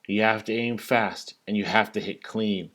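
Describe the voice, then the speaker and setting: A man who works as a teacher reads a children's story in rhyming verse, with an expressive, sing-song delivery that stresses key words. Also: fairly low pitch, moderate pace. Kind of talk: animated storybook read-aloud of rhyming verse.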